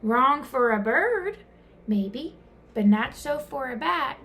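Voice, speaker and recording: A woman voicing a peculiar, matter-of-fact bat in a children's story, speaking in a drawn-out, emphatic, correcting way. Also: sits high, lively intonation, fairly bright tone, exaggerated voice. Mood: know-it-all.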